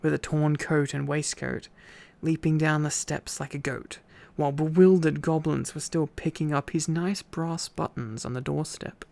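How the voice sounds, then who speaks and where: A man's voice: fairly high pitch, fairly even intonation, normal speed, creaky, darkish tone, no room sound. A sleepy man doing an intimate ASMR boyfriend-style reading, tired and affectionate, with playful asides to his listener. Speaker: ASMR boyfriend roleplay storyteller.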